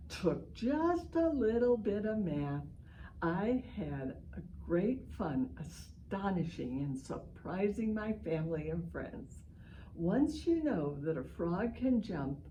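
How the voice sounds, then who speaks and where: A woman's voice: on the low side, warm tone, a little hiss or hum, poor audio. A woman reading a picture book aloud to children, with exaggerated emphasis, exclamations and simple asides to her young listeners. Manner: explains simply, addressing young listeners directly. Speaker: reading session leader for children.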